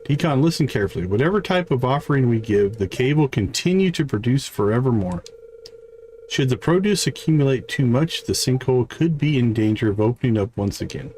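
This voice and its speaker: A man voicing an earnest, scholarly game wizard, reading the dialogue in a formal, deliberate way. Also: mid-range voice, a little mellow, lightly acted. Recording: clear background noise.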